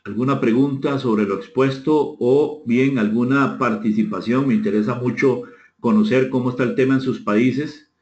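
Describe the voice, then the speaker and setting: A man's voice: medium pitch, steady tone, normal speed, a little mellow. Costa Rican man in an echoey, muffled recording. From Costa Rica. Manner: courteous, inviting participation, repeats phrases. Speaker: presenter leading an online seminar. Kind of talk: interactive webinar talk, reading out chat comments. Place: indoor room, online session. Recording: echoey room, muffled dull audio.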